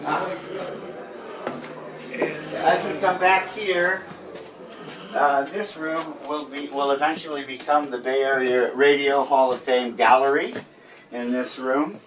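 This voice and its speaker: A man giving an informal, welcoming walk-through tour in a halting way, as a guide showing visitors around. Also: mid-range voice, fairly even intonation, noisy background. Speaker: tour guide showing a radio society museum. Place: walking through museum building during a public event. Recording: echoey rooms with busy crowd chatter. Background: crowd chatter.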